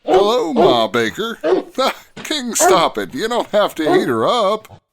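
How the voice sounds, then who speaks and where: Animated, upstanding frontier police sergeant. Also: male voice, high-pitched, slow pace, creaky, full character voice. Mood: jovial scolding. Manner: formal, earnest. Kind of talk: old-time radio drama acting.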